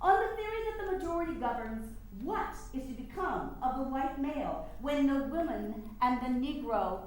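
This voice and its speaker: A distant, very echoey stage reading. An actress plays an eloquent, sardonic campaigner for women's rights, high-voiced, formal and rhetorical, with lively swings in pitch. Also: female voice, moderate pace. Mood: oratorical, sardonic. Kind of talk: staged play reading, oratorical. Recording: echoey room, distant mic, faint noise.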